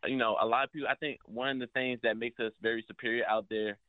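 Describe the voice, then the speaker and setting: A man's voice: medium pitch, fairly even intonation, fast talker, no room sound. A man in his twenties calling in as a guest, talking upbeat about his family business. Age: 20s. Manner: upbeat, promotional. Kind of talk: phone-in interview. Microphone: phone. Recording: tinny phone line.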